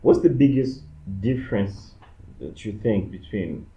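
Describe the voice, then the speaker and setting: Young congolese guy rambling on a podcast, lowish voice, kinda muffled and echoey. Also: animated, unhurried, mellow. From Democratic Republic of the Congo. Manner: rambling, fills with "like" and "you know". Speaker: podcast co-host. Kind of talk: casual chat. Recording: echoey room, dull lo-fi sound.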